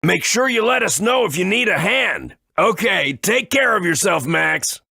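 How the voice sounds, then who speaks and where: A man voicing a gruff, good-natured working man in a video game, with a creaky voice. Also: high-pitched, animated, a little slow, bright, forceful tone, no room sound, studio quality, exaggerated voice. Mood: friendly, bossy. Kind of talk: video game character acting.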